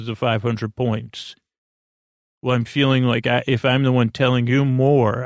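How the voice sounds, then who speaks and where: A man with a creaky, gravelly voice hosts a rambling bedtime podcast. He speaks directly to the listener in halting, looping sentences that often backtrack. The sound is close and very dry. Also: mid-range voice, no room sound. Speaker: podcast host.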